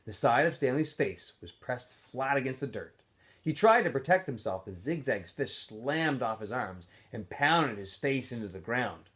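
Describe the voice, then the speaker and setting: A man narrates a storybook aloud with lively, swooping intonation. He sounds like a teacher reading to students and throws himself into the dialogue. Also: medium pitch, moderate pace. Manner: expressive, acts out dialogue.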